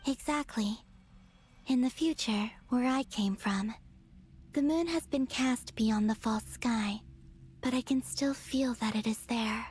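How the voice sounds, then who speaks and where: A woman voicing a serene, resolute young moon goddess in a video game, speaking softly in a measured, breathy way. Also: on the high side. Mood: calm, certain.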